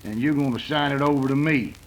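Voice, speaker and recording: An actor playing a menacing outlaw gang boss with a folksy cowboy drawl, in 1930s western radio style. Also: male voice, mid-range voice, a little fast, a little hiss or hum, natural voice, rough recording. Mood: threatening, smug.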